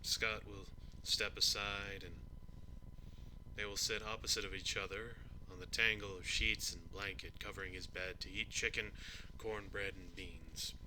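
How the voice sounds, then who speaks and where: A man voicing a kindly old grandpa reading a bedtime story aloud, haltingly, with rambling asides and self-corrections. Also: sits low, full character voice, rough recording. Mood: storytelling. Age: older. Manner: slow, halting, fumbling and correcting himself. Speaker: amateur voice actor. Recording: steady ceiling fan noise behind. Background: ceiling fan.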